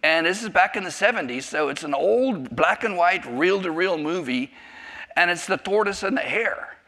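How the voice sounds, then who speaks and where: A man in his fifties, raised in South Africa on Afrikaans but speaking with an American accent, tells his testimony to a church class. His voice sits a little high and rings bright and forceful. He rambles, cuts himself off and jokes with the room. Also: lively intonation, normal speed. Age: 50s. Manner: rambling, self-interrupting, jokey. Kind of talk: personal testimony talk.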